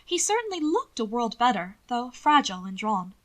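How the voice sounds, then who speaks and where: A woman's voice: a little high, sing-song, moderate pace, fairly bright tone. A woman reading scripted fiction as an audiobook narrator. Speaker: podfic narrator. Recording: occasional static crackle from microphone.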